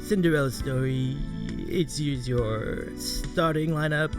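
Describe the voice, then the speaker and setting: Man as tipsy, aloof golf commentator, drawn-out halting delivery, mid pitch, music underneath. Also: close to an everyday voice. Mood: hushed, drawling. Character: drunk aloof hushed golf tournament announcer introducing players. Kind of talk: parody of televised golf commentary.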